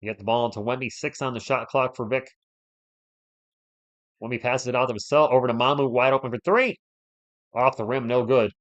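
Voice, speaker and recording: A man's voice: mid-range voice, a little fast, pauses often, highs cut off. A man who is a bit under the weather hosts a live sports stream, giving casual fan play-by-play and chatting with viewers by name in a conversational, playful way.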